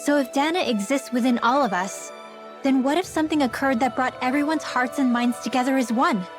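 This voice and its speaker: A woman's voice: sits high, brisk, over music, natural voice. A woman playing an earnest teenage girl mage in a video game cutscene, with a youthful delivery. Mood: thoughtful.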